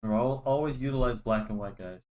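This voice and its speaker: A man's voice: medium pitch, quick pace, a little mellow. A young man chatting casually while he draws, rambling with many restarts and fillers, and he sounds slightly nasal from a cold. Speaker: drawing channel host. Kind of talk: casual chat while drawing. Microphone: desk microphone.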